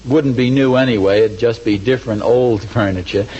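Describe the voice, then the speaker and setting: A man's voice: mid-range voice, normal speed, dark tone, lightly acted. An American man playing a befuddled, mild-mannered suburban husband in a 1940s radio comedy, with a hesitant, folksy way of talking. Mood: musing. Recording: dull, noisy old radio recording.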